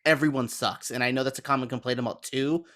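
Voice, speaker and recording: A man's voice: medium pitch, steady tone, fast, a bit bright. A man chatting casually as a podcast panelist, giving frank opinions. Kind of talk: casual chat about films and games.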